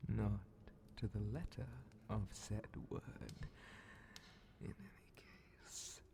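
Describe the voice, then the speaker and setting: Slow, whispering, sinister demon vizier. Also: male voice, on the low side, expressive, background noise, heavily acted. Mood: sly, measured. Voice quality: breathy, gravelly, often dropping to a whisper. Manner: slow, theatrical, condescending, half-whispered menace. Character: sly, menacing male demon grand vizier, mockingly polite. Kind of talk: ASMR fantasy horror roleplay.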